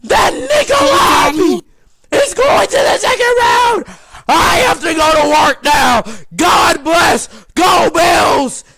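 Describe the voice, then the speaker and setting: A man's voice: very high voice, expressive, slow pace, creaky. A man commentating hockey in a frantic, profane amateur style, screaming ecstatic, repetitive exclamations until his voice is strained and giving out. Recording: loud music and crowd roar behind. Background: loud music and crowd noise.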